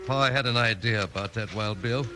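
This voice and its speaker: An actor playing an earnest, plainspoken young rancher in a radio western, with an American Western accent. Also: male voice, fairly low pitch, normal speed, pushed and bright, soft background music. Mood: subdued. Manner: plainspoken, rustic. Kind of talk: 1950s radio western acting. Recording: noisy, clicking vintage radio recording.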